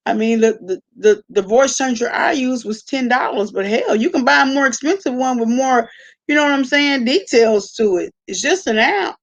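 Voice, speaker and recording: A woman with a fairly high voice hosts a casual live stream. She talks straight to her viewers in an informal, conversational manner, using plenty of slang. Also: expressive, moderate pace, dull audio. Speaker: live-stream host reacting to online gossip. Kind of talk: casual livestream chat with viewers.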